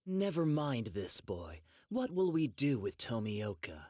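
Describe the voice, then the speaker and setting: A man playing a cold, distrustful young swordsman in an anime game, speaking in a sharp-tongued, disdainful drawl. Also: mid-range voice, very animated, unhurried. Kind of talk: English anime game voice acting.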